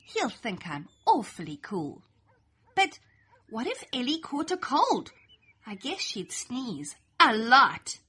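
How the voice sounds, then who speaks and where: A young adult woman reads a rhyming picture book aloud, her voice high and bright with a slightly breathy edge. She takes her time, letting her pitch swing widely and playfully through each line. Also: takes it slowly. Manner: lively, playful, sing-song storytelling. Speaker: storybook reader. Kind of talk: expressive read-aloud children's story in rhyme.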